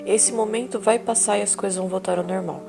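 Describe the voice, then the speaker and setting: A woman acting a calm therapist in an audio drama, asking gentle probing questions over music. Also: medium pitch, fast, gravelly.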